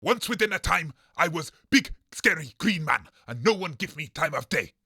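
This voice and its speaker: A man voicing a dim, proud green monster head in an audio comedy, speaking halting, pompous broken English in a raspy voice. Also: a little high, very animated, bright, forceful tone, no echo, studio quality, exaggerated voice. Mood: pompous, blunt. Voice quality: gravelly, raspy. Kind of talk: audio comedy character acting.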